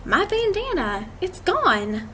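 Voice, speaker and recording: Woman reading as a slow, grumbling cowboy. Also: very high voice, big pitch swings, a bit bright, voice pushed up, heavily acted. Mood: dismayed. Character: tired, grumbling male cowboy upset over lost clothes. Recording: clearly noisy amateur recording.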